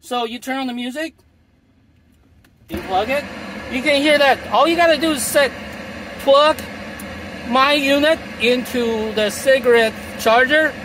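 A man's voice: extremely high pitch, unhurried, pauses often, noisy background. A middle-aged man demonstrating a gadget from inside a parked car, explaining in a repetitive, salesy way. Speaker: product demonstrator. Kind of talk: product demo.

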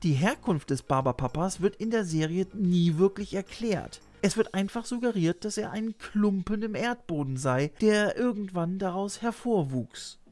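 A man's voice: high-pitched, animated, normal speed, few pauses, darkish tone. A middle-aged man hosting and narrating a scripted video essay, recorded indoors on a desk microphone. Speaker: host and narrator. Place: small room lined with shelves, indoors. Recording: music behind the voice.

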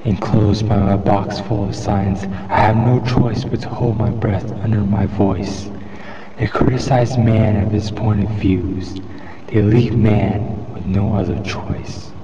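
A man reciting a poem in a solemn, measured, declamatory way. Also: steady tone, over a noisy crowd. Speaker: poetry reader. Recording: muffled lo-fi with loud background rumble.

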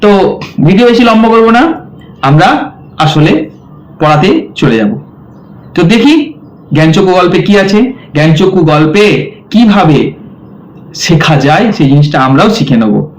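A man's voice: high voice, very animated, unhurried, pauses often, low-quality recording. A man teaching a literature lesson, explaining a story to students by repeating lines and asking questions he then answers. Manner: asks rhetorical questions and answers them.

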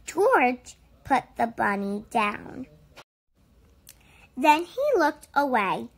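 A woman reading a children's picture book aloud with deliberate, expressive phrasing. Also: on the high side, breathy edge. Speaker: storybook reader.

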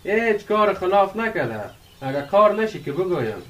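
A man's voice: high voice, very animated, fairly bright tone, distant mic. An actor playing a curious, impatient young village man who prods his friend conversationally in a radio drama. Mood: friendly. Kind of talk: radio drama acting in dialogue.

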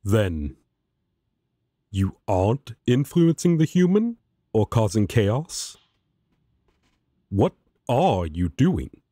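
A man's voice: on the low side, big pitch swings, extremely slow, pauses often, warm tone, no echo, clean studio sound, exaggerated voice. A man playing an earnest, righteous, devoted guardian angel in an audio roleplay, speaking formally and solemnly. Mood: suspicious, stern.

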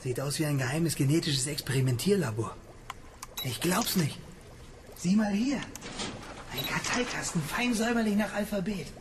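An actor in a radio play playing a cautious, curious man investigating, with a hushed, urgent manner. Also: on the high side, expressive, moderate pace, long pauses, natural voice. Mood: intrigued. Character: cautious, curious male amateur sleuth. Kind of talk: German radio play acting. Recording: distant, noisy ambience.

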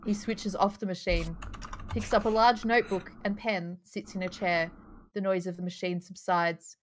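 A woman's voice: on the low side, steady tone, normal speed, slight background noise. A woman reading out screenplay stage directions as narrator in a live table read over a video call. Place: indoors, on a group video call.

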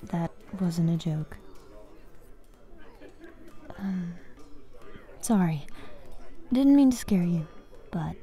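A woman playing a bossy but caring young fighters guild master in an ASMR fantasy roleplay, soft-spoken and commanding. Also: lowish voice, expressive, heavily acted. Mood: stern. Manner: soft-spoken, commanding, frequent sighs and pauses.

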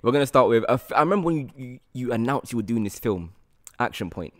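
A man's voice: mid-range voice, animated, fast talker. A young man with a British accent hosting a chatty interview, playfully repeating himself for emphasis. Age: young adult. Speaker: interview host. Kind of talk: casual interview chat. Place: bright loft-style room, indoors. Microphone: handheld microphone.

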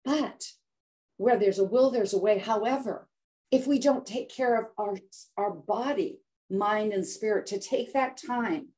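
An older woman, a health coach guest on a podcast, telling her story in a dramatic, animated way. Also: on the high side. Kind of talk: storytelling in conversation.

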